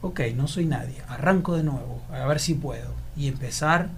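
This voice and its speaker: A man's voice: medium pitch, moderate pace, crowd noise. A man from Argentina with an Argentine accent, an actor answering interview questions in a reflective, rambling way with many restarts. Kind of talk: casual interview conversation.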